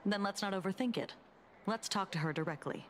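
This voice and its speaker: A woman's voice: mid-range voice, fairly quick, a little hiss or hum, natural voice. An actress playing a composed, businesslike female government agent in a video game. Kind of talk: game voice acting.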